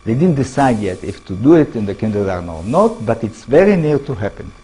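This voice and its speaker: A man with an Israeli accent, a native Hebrew speaker, giving a public talk as an author, animated and full of rhetorical questions to the audience. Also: mid-range voice, a little fast, husky. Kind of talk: public lecture.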